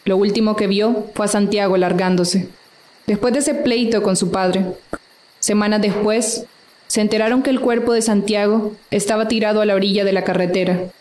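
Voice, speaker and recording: A woman's voice: medium pitch, steady tone, normal speed, darkish tone. A woman reading scripted narration for a radio drama. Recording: roomy echo, faint music underneath.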